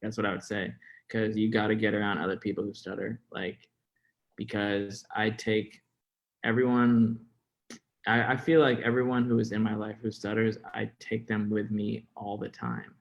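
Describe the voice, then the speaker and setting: A young American man speaks in a low-pitched voice with noticeable fry. He stutters and hesitates, repeating words. His delivery is informal and candid, as a guest being interviewed. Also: little pitch movement, moderate pace, muffled recording. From Florida, United States. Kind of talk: candid personal interview talk.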